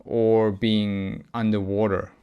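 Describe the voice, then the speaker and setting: A man recording a reflective personal audio log, speaking haltingly as he searches for words and often pauses mid-thought. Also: fairly low pitch, flat delivery, smooth, phone-quality sound. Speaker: podcast host.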